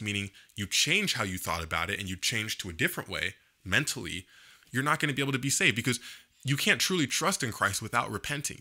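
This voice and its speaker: Bright-voiced young man speaking earnestly. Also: fairly low pitch. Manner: earnest, gesturing, frequent "you know" fillers. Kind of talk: earnest opinion monologue to camera. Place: small indoor home studio. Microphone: boom-mounted studio mic in front.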